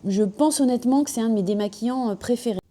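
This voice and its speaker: A woman from France, a beauty vlogger, chatting casually about finished products at home, slightly hoarse from a cold, with lots of fillers and self-corrections, on a small clip-on mic. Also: fairly high pitch, fast talker, mellow. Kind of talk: casual product review chat. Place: at home with a machine running nearby. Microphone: small magnetic clip-on mic.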